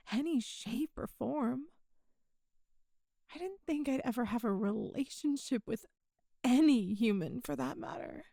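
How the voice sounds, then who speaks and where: A woman voicing a tender, teasing demon goddess in love, in an intimate, halting ASMR audio roleplay with soft, breathy delivery. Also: high-pitched, dry recording, studio quality, heavily acted. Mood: earnest, loving. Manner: slow, halting, intimate, wistful.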